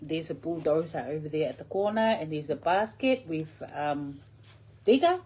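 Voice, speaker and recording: A woman's voice: low voice, lively intonation, moderate pace, darkish tone, husky. A middle-aged woman reading a picture book aloud to a young child in simple short phrases, drawing out key words and pointing things out with questions.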